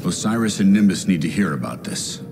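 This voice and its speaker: A seasoned, resolute protector from a game. His voice is deep and steady, with music playing behind it. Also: fairly quick.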